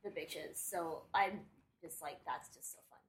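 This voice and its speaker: A woman's voice: low-pitched, a little slow, rough recording. A woman co-host chatting animatedly on a podcast, peppering her talk with "like.". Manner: animated, many "like"s. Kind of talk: casual group chat. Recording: crowd chatter behind.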